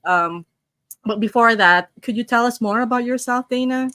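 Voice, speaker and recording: A woman's voice: fairly high pitch, normal speed, no echo. A woman from the Philippines, hosting a casual online session and reading a story aloud with restarts. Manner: chatty, warm-up greetings, frequent restarts while reading. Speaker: host.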